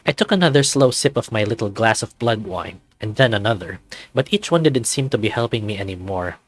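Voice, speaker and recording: A man's voice: on the low side, lively intonation, fairly quick. A man reading scripted first-person story narration for a visual novel.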